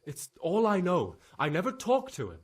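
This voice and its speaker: A man playing a frightened young stable lad in a game cutscene, stammering and halting, with a husky voice. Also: sits high, wildly swooping pitch, heavily acted. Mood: defensive, nervous. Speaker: video game character, witness being questioned. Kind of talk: dramatic game cutscene acting.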